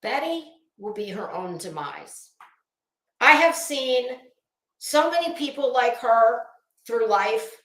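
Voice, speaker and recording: A woman's voice: a little high, a bit bright, echoey room, rough recording. A woman delivering an opinionated online commentary rant, blunt, scolding, emphatic and sweary. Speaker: online commentary video host.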